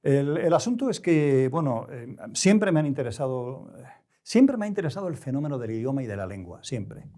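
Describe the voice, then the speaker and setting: Mellow-voiced older Spanish man, reflective. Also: mid-range voice, very animated. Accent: European Spanish. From Spain. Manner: deliberate, halting, with erudite careful word choice. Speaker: interview guest, novelist. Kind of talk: reflective interview conversation.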